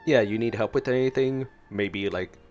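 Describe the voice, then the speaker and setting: A man's voice: lowish voice, moderate pace, a little mellow, soft background music. A man streaming a game with casual, playful reaction commentary, talking back to the characters. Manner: playful, reads along and talks back to characters. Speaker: gaming streamer.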